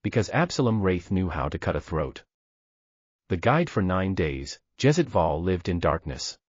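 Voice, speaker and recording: Male narrator, very deep voice, lively dramatic delivery, dull lo-fi sound. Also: normal speed, slightly rough, no room sound. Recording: dull dry lo-fi recording.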